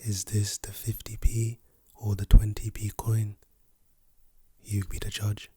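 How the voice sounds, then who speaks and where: Hoarse soft-spoken man, close and husky. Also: fairly low pitch, level tone, slow, no echo. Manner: playful, teasing, repeats words softly. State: lost voice, hoarse. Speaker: ASMR creator. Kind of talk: soft-spoken and whispered ASMR.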